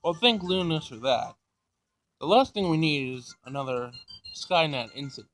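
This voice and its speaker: A man's voice: on the high side, animated, normal speed, fairly bright tone. A man voicing an exasperated, defensive older male professor in a visual novel, casual and grumbling. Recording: music behind the voice.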